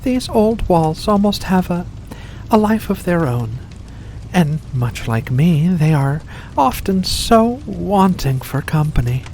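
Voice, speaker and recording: An ancient vampire played by a woman in an ASMR roleplay, speaking very slowly in a lowish register. The manner is silky and genteel, all coaxing endearments over a predatory hunger. A soft layer of ambient noise sits behind the voice. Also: sing-song, heavily acted. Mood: silky, welcoming. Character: ancient predatory vampire with furry paws, seductive, faux-kindly. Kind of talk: ASMR roleplay addressed to the listener.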